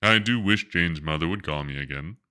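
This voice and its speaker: A man's voice: deep voice, brisk, sharp tone, smooth. A man voicing a fretful, exasperated storybook father who is easily dismayed. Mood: worried. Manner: astonished questioning, dramatic dismay.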